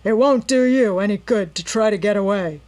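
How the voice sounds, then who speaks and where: A woman's voice: mid-range voice, darkish tone, close to an everyday voice. A woman voicing a snarling robber boss. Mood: menacing. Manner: growling, sneering, slow menacing. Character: snarling male robber gang leader, brutal.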